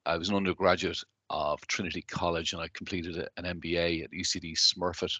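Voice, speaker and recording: A man with a Dublin Irish accent, in his thirties, answering interview questions in a measured, businesslike way with frequent fillers and restarts. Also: sits low, little pitch movement, sharp tone, husky, no echo. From Ireland. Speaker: guest, company chief executive.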